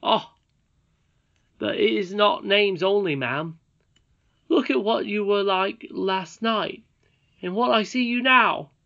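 A woman's voice: mid-range voice, takes it slowly, gravelly, pauses often, a bit bright, pitched above the performer's own voice. A woman voicing an earnest, wondering young boy miner while reading a storybook aloud. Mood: astonished. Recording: dull lo-fi recording.

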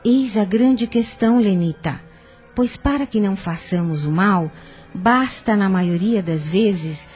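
Woman playing a slow, mellow wise aunt. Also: mid-range voice, lightly acted. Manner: measured, solemn, didactic. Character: wise, patient older aunt giving spiritual counsel. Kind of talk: radio soap opera acting. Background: music.

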